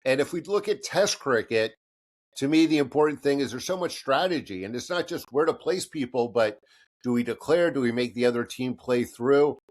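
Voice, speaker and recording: A man's voice: mid-range voice, expressive, normal speed. Chatty middle-aged American man, laughing easily. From United States. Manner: rambling, self-deprecating, laughs often. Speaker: podcast co-host. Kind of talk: casual podcast chat.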